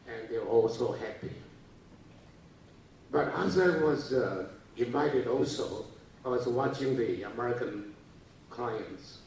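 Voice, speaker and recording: An older man from Taiwan with a Taiwanese accent, giving a halting lecture to students with many fillers and restarts. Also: mid-range voice, darkish tone, a little hiss or hum. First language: Japanese. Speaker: guest speaker. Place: large lecture hall. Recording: echoey hall, voice from a distance.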